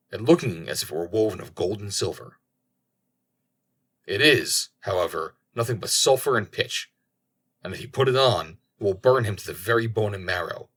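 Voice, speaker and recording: A man's voice: on the low side, fairly bright tone. A man voicing a knowing raven in a storybook tale, speaking in a dramatic, ominous and declaiming manner. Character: knowing raven foretelling doom to a companion.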